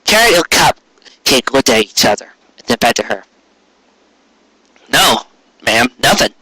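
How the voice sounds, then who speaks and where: Animated, bright-voiced man reading a story. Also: medium pitch, normal speed, dry recording, low-quality recording. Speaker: story reader. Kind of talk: expressive narration of a written story.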